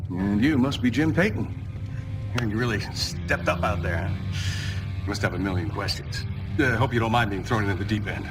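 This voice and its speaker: An actor playing a pragmatic, placating expedition boss in a video game, talking in a persuasive, managerial way. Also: male voice, fairly low pitch, brisk, lightly acted, rough recording. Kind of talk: video game cutscene acting. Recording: loud music behind voice.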